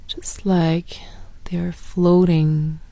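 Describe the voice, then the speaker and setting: A woman guiding a sleep meditation in a soft, partly whispered voice. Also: lowish voice, level tone, drawn-out words, creaky, no echo. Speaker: meditation guide.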